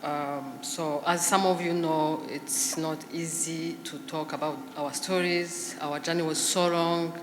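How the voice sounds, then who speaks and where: Woman, survivor testimony at a podium, halting, measured delivery, long echo of a large hall, faint background hum. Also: deep voice, steady tone. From Rwanda. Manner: halting, measured, with frequent pauses and fillers. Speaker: genocide survivor giving testimony. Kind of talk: personal testimony speech.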